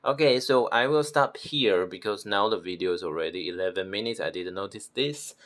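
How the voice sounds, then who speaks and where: A man from Taiwan, practicing pronunciation slowly and repeating words as he corrects himself. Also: lowish voice. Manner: slow, repeats words, self-correcting with soft laughs. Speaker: language learner. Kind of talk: self-recorded pronunciation practice.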